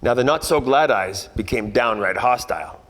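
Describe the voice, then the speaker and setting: A man playing a wry, deadpan private eye and ex-cop in a parody of 1940s radio detective drama, narrating and trading wisecracks. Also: mid-range voice, moderate pace, natural voice.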